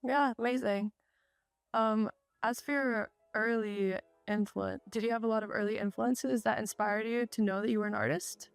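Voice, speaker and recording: A woman's voice: fairly high pitch, fairly even intonation, normal speed, creaky, clear, resonant tone, no room sound, faint music behind. Upbeat young woman interviewer. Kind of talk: casual interview. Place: art gallery.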